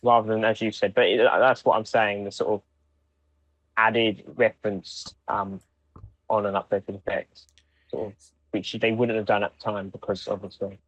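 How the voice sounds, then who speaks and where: A man co-hosting a podcast in casual chat, speaking in halting pieces and repeating words, on a dull microphone. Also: fairly low pitch, flat delivery, fairly bright tone, dry recording. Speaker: podcast co-host. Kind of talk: casual chat reviewing audio drama.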